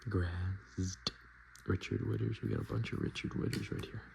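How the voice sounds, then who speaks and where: A man softly speaking and whispering in a casual, murmuring ASMR style, as host showing items to the viewer. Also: fairly low pitch, fairly even intonation, moderate pace, creaky, a little hiss or hum. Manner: hushed, casual murmured asides. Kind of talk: ASMR, softspoken and whispered card show-and-tell.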